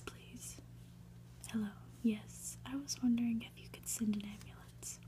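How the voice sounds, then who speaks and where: A woman's voice: on the high side, dark tone, natural voice. A woman voicing a meek, worried, polite ordinary man from a storybook, with hesitant, understated delivery. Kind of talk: whispered storybook character reading.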